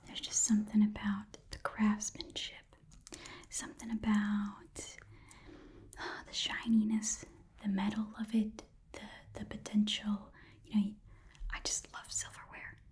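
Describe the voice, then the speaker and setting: A woman's voice: medium pitch, completely flat delivery, darkish tone, close to an everyday voice. A woman voicing a chatty, eager goblin pawn-shop keeper in a whispered ASMR fantasy roleplay, rambling and repeating herself. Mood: delighted, admiring. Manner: halting, rambling, repeats phrases.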